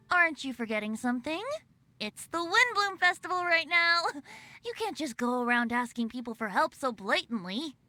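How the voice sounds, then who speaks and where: A woman's voice: high voice, animated, moderate pace, sharp tone. A woman voicing a playful, teasing young male bard in a video game, laughing often. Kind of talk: game voice acting.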